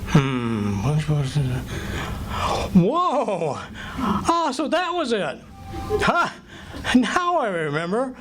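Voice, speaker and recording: A man's voice: high-pitched, wildly swooping pitch, extremely slow, fairly bright tone, faint music behind, full character voice. A man playing a blustering, wisecracking husband in live old-time radio comedy, folksy and easily flustered. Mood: relieved, evasive. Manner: folksy, exclaiming, stammering when cornered.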